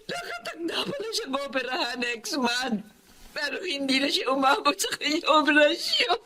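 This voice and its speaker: A woman's voice: high-pitched, sing-song, normal speed, crowd noise. A middle-aged woman with a Filipino accent playing an anguished, grieving mother of two sons in an emotional drama. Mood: sobbing, grieving. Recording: dramatic music bed behind.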